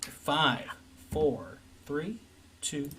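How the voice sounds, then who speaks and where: A man's voice: a little high, lively intonation, very slow. A man hosting a comedy show, bantering casually in a mock interview style. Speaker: host of a comedy audition show.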